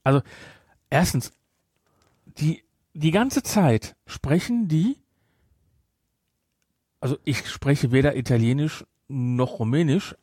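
A man's voice: medium pitch, a little mellow, breathy edge, no echo, clean studio sound. A man speaking as a podcast host, discussing a film casually and emphatically, repeating his points to drive them home.

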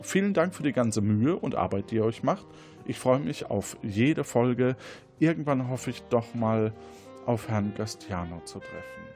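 A man's voice: mid-range voice, a little mellow. A man hosting a podcast in an enthusiastic, appreciative way, chatting casually and reading out listener messages. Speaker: podcast host.

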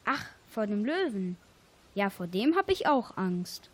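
A woman playing a slow-witted, timid bull in an amateur children's fable radio play, speaking in a puzzled, simple way. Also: medium pitch, sing-song.

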